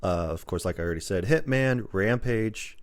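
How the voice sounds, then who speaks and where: A man's voice: lowish voice, normal speed. A man hosting a podcast in a casual, rambling chat, listing things off as he goes. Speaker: podcast host.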